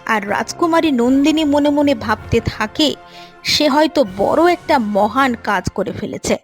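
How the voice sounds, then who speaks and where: A woman's voice: high voice, animated, measured pace, soft background music. A woman narrator reading a scripted storybook-style moral tale for an animation.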